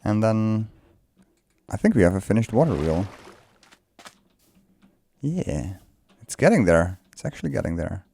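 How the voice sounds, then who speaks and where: Mellow Dutch-native man musing. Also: lowish voice, measured pace, creaky, long pauses. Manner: relaxed, musing, laughs often. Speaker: let's play creator. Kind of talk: casual building commentary.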